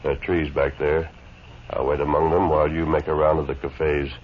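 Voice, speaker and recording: Very deep, commanding masked western lawman. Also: flat delivery, husky. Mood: calm, decisive. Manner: measured, authoritative. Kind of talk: 1950s radio western acting. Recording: muffled, hissy 1950s radio recording.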